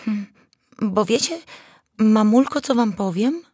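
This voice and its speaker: A woman's voice: on the high side, lively intonation, slow, a little mellow, husky, no room sound, studio quality, pitched above the performer's own voice, heavily acted. A woman voicing a sweet, trusting young village maiden who talks in a hesitant, confiding way in a fairy tale.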